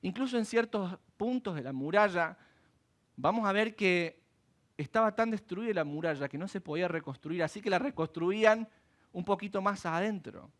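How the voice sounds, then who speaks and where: A man preaching a sermon in church, animated and asking rhetorical questions. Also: sits high, moderate pace. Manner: animated, acts out quoted voices and asks rhetorical questions. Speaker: pastor.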